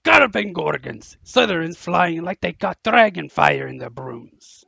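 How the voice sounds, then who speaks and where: A man playing an excitable, rustic giant groundskeeper in an audio play, with a gravelly, husky voice. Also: high voice, a little slow, no echo, muffled recording, heavily acted. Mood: alarmed excitement.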